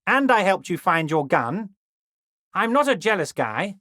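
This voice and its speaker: A smug, corrupt union boss from a video game. He speaks with a very lively, swinging pitch in a close, dry recording. His manner is oily and ingratiating, all false friendship and sly insinuation. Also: a little slow, no room sound, heavily acted. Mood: falsely magnanimous. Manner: ingratiating, oily, insinuating, repeatedly addressing listener as a pal. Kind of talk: video game character dialogue.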